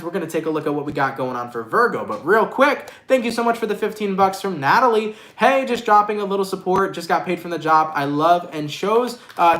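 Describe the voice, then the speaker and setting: A man doing a casual tarot reading in a lively, slangy, affectionate way, sounding congested and nasal. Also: on the high side, reverberant. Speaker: tarot reader.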